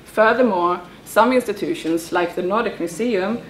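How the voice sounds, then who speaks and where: A woman, a researcher presenting at an academic conference, reading her prepared paper in English with some halting starts. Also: mid-range voice, a little hiss or hum. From Sweden.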